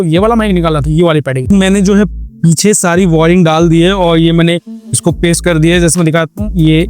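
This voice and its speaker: A man's voice: fairly high pitch, a little mellow. A man narrating a casual hands-on how-to as a vlog host, explaining step by step and mixing in English words. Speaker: motorcycle vlogger and tutorial host.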